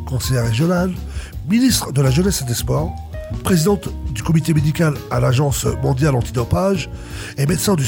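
A man's voice: a little high, vocal fry, darkish tone. A man with an accent from France, hosting a radio portrait and reading scripted narration. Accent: French from France. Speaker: radio host and interviewer.

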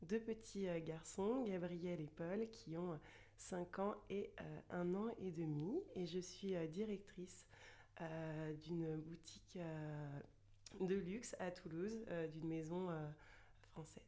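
Podcast guest, female, 30s. Lowish voice, conversational, hesitant phrasing with frequent pauses. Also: dark tone. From France. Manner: hesitant, pausing often mid-sentence. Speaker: podcast guest, luxury boutique director. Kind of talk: conversational interview answers.

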